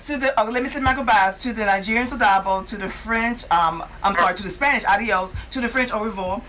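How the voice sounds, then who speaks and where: A woman hosting a talk show, chatting casually and gushing with animated praise. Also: medium pitch, fast, slight background noise. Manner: animated, effusive, exclaiming praise. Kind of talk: casual chat.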